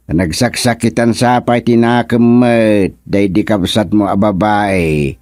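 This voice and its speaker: A guy doing a laid-back old village husband, talks real slow, grunts and chuckles, dry sound. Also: lowish voice, steady tone, a little mellow, no echo. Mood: drawling. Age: older. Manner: drawling, chuckling, lots of grunts and hums. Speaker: lead in a comic radio serial. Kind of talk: comic radio drama acting.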